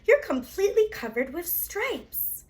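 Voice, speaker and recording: A woman's voice: very high voice, very animated, a little slow, pitched above the performer's own voice, exaggerated voice. A woman voicing a worried, bossy mother from a children's storybook, exclamatory and commanding. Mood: shocked.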